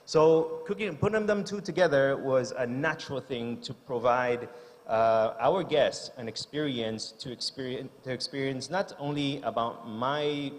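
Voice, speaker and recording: A man's voice: mid-range voice, a little slow. Hesitant man speaking in a reverberant hall. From Hong Kong. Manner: hesitant, many fillers and restarts. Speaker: chef giving a talk. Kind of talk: unscripted conference speech. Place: large conference hall stage.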